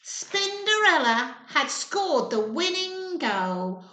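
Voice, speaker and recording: A woman reading a children's story in an expressive, sing-song, encouraging way, pausing to put questions to her young listeners. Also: very high voice. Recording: echoey room sound.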